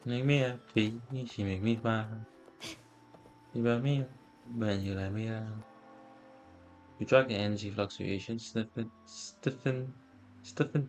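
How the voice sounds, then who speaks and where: A man doing a casual video game playthrough, commenting and reading the on-screen text aloud. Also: on the low side. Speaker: game streamer.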